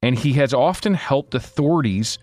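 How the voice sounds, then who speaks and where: A man's voice: medium pitch, animated, moderate pace, a little mellow, soft background music. A man speaking as an investigative journalist, telling a story in an informal, conversational way with emphatic delivery.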